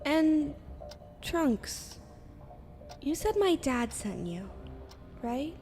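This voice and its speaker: A woman's voice: high voice, big pitch swings, very slow, a little mellow, soft background music, full character voice. A woman voicing an exasperated but caring young woman, a fighter's daughter, in a fan-made anime roleplay. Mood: guarded, serious.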